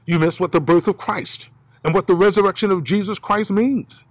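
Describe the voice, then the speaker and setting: A man in his fifties preaching a sermon outdoors as pastor, with dramatic emphasis and slow stresses, filmed on a camera held at arm's length. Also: high voice, no echo. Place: outdoors by pine trees. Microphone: phone or camera at arm's length.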